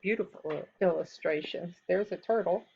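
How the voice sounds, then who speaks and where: A woman reading a folktale aloud to children in an expressive, deliberate storytelling style, on a slightly dull recording. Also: fairly low pitch, vocal fry, husky. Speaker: storybook reader.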